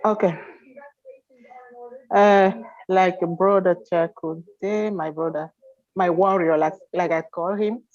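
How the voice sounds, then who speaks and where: A woman from Cameroon on a video call, telling her story in a halting way with many restarts. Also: on the low side, steady tone, darkish tone. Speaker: guest. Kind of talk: casual chat. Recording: dull lo-fi call audio.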